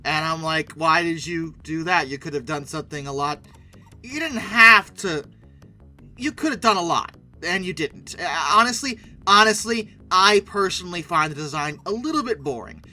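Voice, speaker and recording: Bright, high-voiced man doing sarcastic commentary. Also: expressive, normal speed, soft background music. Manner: sarcastic, opinionated, emphatic. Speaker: YouTube commentator and host. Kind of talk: casual video commentary.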